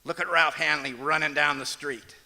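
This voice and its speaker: A man acting as a wry, put-upon comedian husband in an old-time radio comedy, with a dry deadpan delivery. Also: fairly high pitch, moderate pace. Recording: echoey auditorium, music behind.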